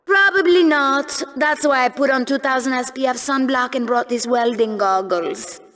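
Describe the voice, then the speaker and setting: A woman's voice: high-pitched, moderate pace, fairly bright tone. A woman playing a sardonic, bloodthirsty co-host of a sci-fi sports show in a video game, bantering theatrically. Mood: dry sarcasm. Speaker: co-host, commentator.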